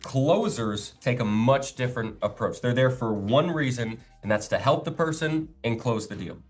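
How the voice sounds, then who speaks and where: A man who works as a sales trainer, speaking in an instructional, sales-pitch style. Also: normal speed, soft background music. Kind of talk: instructional talk and sales role-play.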